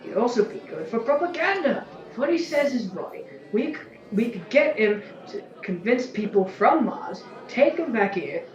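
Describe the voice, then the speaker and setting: A woman's voice: a little high, sing-song, moderate pace, few pauses, dull audio. Lady playing a cocky scheming space general, flippant n casual, echoey room, music under it, audio drama. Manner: flippant, slangy, informal.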